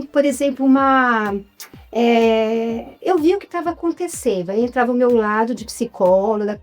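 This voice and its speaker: A woman's voice: a little high, darkish tone. A woman from Brazil, a writer and former psychologist, telling her story in a casual interview with many hesitant fillers.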